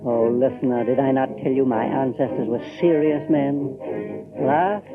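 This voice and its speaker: Male actor, brooding audio drama narrator, theatrical, rhetorical, emphatic, muffled lo-fi tape, loud music behind. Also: medium pitch, steady tone, a little slow, natural voice. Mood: indignant, taunting. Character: brooding storyteller descended from stern Puritans, challenging the listener.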